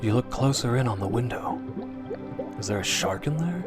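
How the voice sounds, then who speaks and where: A man narrating a scripted story in the second person, in an expressive, suspenseful old-time radio drama style. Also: medium pitch, moderate pace. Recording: narration over prominent background music.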